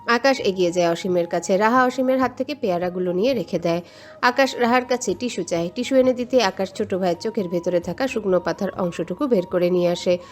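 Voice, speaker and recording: A woman narrates a scripted romantic tale. Her voice sits at an ordinary female pitch, and she tells it as drama while music plays underneath. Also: fast talker. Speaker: story narrator. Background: background music.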